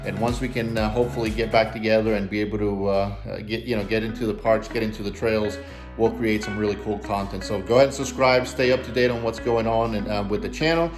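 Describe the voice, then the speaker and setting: A man's voice: fairly low pitch, fairly even intonation, quick pace, over music. A man hosting a car vlog, chatting casually with informal enthusiasm. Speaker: car channel host.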